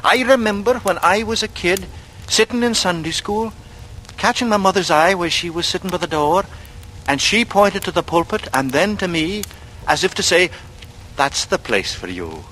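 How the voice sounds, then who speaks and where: Theatrical, brooding young drifter. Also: male voice, high-pitched, expressive, normal speed, pushed and bright. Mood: boastful, glib. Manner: theatrical speechifying, rambling and dreamy. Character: glib, brooding young male drifter with a murderous streak. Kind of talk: 1940s radio thriller acting. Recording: noisy vintage radio drama recording.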